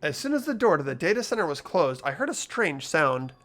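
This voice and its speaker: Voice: mid-range voice, big pitch swings, fairly quick, fairly bright tone. A playthrough host reading a video game story aloud in character, with casual asides.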